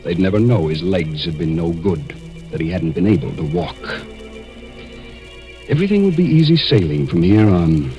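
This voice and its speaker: Deep-voiced, cold scheming killer. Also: male voice, big pitch swings, warm tone, dull audio. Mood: cold, deliberate. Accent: American. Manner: deliberate, suspenseful first-person narration. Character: cold, scheming male murderer narrating his crime. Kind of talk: 1940s radio mystery acting. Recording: vintage 1940s radio recording, music behind.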